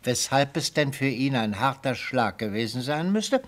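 A man's voice: mid-range voice, animated, normal speed, lightly acted. An actor playing a sly, gleeful retired prosecutor in a radio play, an older man speaking in florid, theatrical rhetoric. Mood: oratorical, relishing. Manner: theatrical, rhetorical, relishing every phrase. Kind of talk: German radio play acting.

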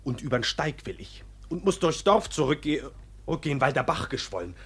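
An actor playing an earnest, plain-spoken young peasant in a radio play, telling his story in a halting, eager, rustic way. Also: a little high, big pitch swings, a bit bright, slightly rough, heavily acted. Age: young adult. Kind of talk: 1950s German radio play acting.

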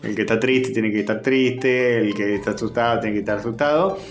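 A man's voice: fairly low pitch, fairly even intonation, brisk. A man with an Argentine accent in casual, slangy, joking podcast chat about films. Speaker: podcast co-host. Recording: roomy echo, faint music underneath.

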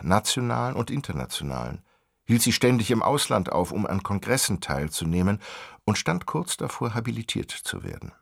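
A wry storyteller narrates a radio drama. His voice is low and gravelly, moving in animated swings of pitch. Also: dry recording, clean studio sound, exaggerated voice. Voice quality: gravelly, raspy.

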